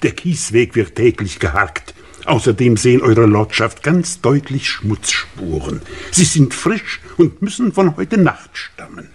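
An actor playing an earnest estate gardener with a husky voice, deferential and factual, in a 1950s German radio play. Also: male voice, medium pitch, very animated, a little slow, heavily acted.

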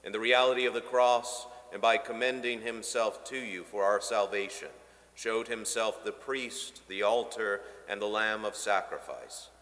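A man in his thirties, a priest, reciting the Eucharistic Prayer at Mass in a measured, solemn way from the altar of a marble church. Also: fairly low pitch, a bit bright. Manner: solemn, measured recitation with slowed key phrases. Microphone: stand microphone at the altar. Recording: long church reverb.

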